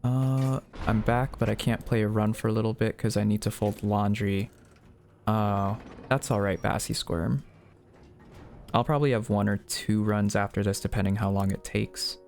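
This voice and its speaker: A man's voice: lowish voice, fairly even intonation, moderate pace, vocal fry, a little mellow. A man live streaming in a casual, conversational way, reading viewers' messages aloud and replying to them. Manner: reads chat messages aloud and replies.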